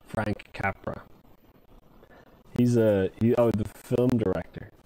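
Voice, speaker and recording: A man's voice: on the low side, fairly even intonation, slow, warm tone, low-quality recording. A man playing a video game on a comedy show, chatting casually and laughing at his own mistakes. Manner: laughing, self-mocking. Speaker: player and lead character. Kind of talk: casual comedic gameplay chat.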